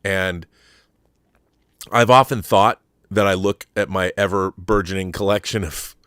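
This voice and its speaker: Low-voiced man hosting a casual movie chat. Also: steady tone, unhurried, fairly bright tone, smooth, no echo, studio quality. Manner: emphatic, repeats words for effect. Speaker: host of a live movie talk show. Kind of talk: casual chat answering a viewer question.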